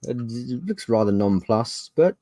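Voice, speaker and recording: A man's voice: lowish voice, big pitch swings, measured pace, dark tone, dry recording, clean studio sound. A man running a tabletop fantasy game as game master, improvising narration and giving players instructions. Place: dry room on an online video call.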